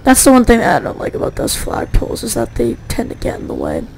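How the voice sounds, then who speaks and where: Woman, gameplay commentary, rambling and chatty, sore gravelly voice. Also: on the high side, huge pitch swings, fast, a little mellow, a little hiss or hum, rough recording. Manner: rambling, self-correcting, chatty. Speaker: gaming YouTuber.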